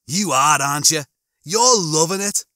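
A man acts out an aggrieved working-class bloke from a storybook. He sounds blunt and sulky, complaining in a raised, forceful voice that jumps with indignation. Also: fairly high pitch, expressive, measured pace, no echo, clean studio sound, pitched above the performer's own voice. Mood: accusing.

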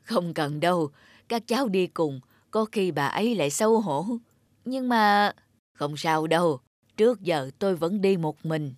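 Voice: mid-range voice, lively intonation, a little slow, no echo, lightly acted. An actor playing a mild, independent elderly grandfather in a cartoon drama, speaking hesitantly over music. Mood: reassuring.

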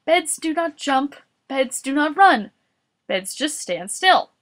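A woman voicing an exasperated, bossy male storybook caretaker who fusses, scolds and often shouts. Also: high voice, very animated, slow pace, voice pushed up, heavily acted. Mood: firm.

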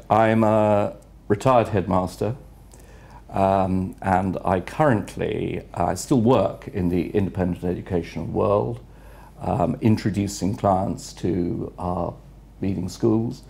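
An older man, a retired headmaster, answering interview questions in a measured, reflective way with frequent pauses, recorded on a lapel mic in an office room. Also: sits low, creaky, dark tone. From England. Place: office room with city view window.

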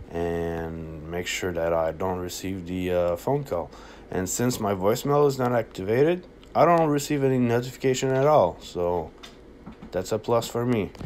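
Slow, low-voiced man. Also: a little mellow, a little hiss or hum. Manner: halting, hesitant delivery. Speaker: app tutorial presenter. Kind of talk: casual unscripted screen-recording walkthrough.

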